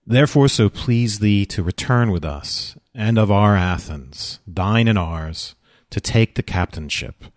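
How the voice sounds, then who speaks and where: An actor playing an earnest, dignified senator, speaking formally in a Shakespearean audio play. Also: male voice, on the low side, expressive, no room sound, studio quality. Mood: earnest pleading. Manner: formal, measured declamation. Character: dignified, earnest Athenian senator pleading diplomatically.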